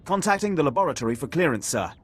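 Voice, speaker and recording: Fast, animated military officer. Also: male voice, a little high, slightly rough. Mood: brisk, formal. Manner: brisk, military. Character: brisk, alert male imperial soldier. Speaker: communications officer. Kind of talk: video game voice acting.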